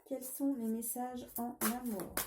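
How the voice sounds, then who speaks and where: A woman's voice: on the high side, flat delivery, takes it slowly, reverberant, very noisy. A woman with a cold giving a casual tarot reading, hesitant with many fillers and restarts. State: has a cold. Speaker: tarot card reader.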